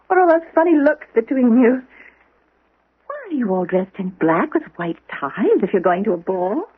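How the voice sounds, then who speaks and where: Breathy, high-voiced, bewildered young woman. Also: big pitch swings, moderate pace, no echo. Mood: puzzled, anxious. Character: confused, sweet young woman with fogged memory. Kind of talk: 1970s radio drama acting. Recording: muffled, narrow-band old radio broadcast.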